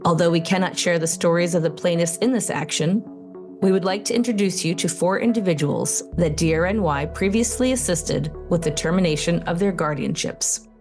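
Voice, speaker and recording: A woman narrates an advocacy video in a measured, formal voice. Her pitch sits on the low side, and faint music plays beneath her. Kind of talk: scripted voice-over narration.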